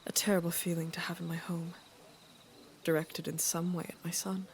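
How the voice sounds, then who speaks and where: A woman playing an aging, regal queen and mother in a fantasy audio drama, speaking in a measured, deliberate and wry way. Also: fairly low pitch, level tone, slight background noise, natural voice. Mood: grave, worried. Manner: measured, deliberate, dryly teasing.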